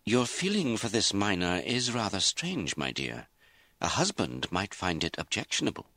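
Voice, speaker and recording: A man's voice: fairly low pitch, very animated, moderate pace, sharp tone, no echo, pitched above the performer's own voice, exaggerated voice. Man as genteel, scheming older society mother. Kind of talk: audiobook character acting.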